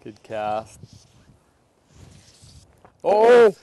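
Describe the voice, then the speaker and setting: A middle-aged man hosting a fishing show outdoors by a river, talking casually with quick, enthusiastic exclamations on a clip-on mic. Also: sits high, big pitch swings, warm tone.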